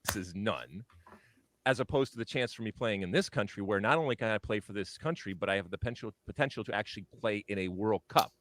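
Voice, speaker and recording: A man with a low voice makes an earnest, measured case on a televised panel. The sound is dry and close. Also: fairly bright tone, no room sound. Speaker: TV soccer pundit. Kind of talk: television punditry.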